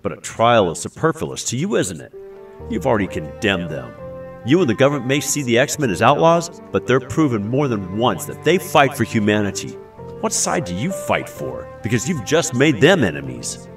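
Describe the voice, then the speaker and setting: An actor playing a principled, earnest man with a husky voice in an audio drama. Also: medium pitch, sing-song, normal speed, faint music behind. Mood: righteous indignation. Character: principled, earnest adult male inventor, indignant yet caring.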